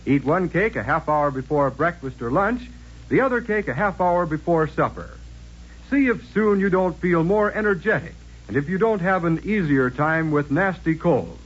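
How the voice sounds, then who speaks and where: Casting: male American 1940s radio narrator, fairly high and very expressive, scripted delivery, dull lo-fi broadcast sound. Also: normal speed, husky. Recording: muffled old-time radio recording.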